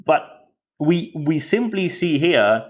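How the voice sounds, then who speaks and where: A man's voice: fairly high pitch, very animated, slow. A man with a German accent, speaking English as a second language, hosting a podcast and giving direct, earnest commentary with frequent repeated words. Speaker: podcast host.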